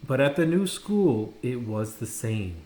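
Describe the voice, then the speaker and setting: A man reading a children's story aloud, dramatically, with pauses and stressed words. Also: medium pitch, lively intonation, a little mellow. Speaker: storybook reader. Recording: roomy echo, faint background noise.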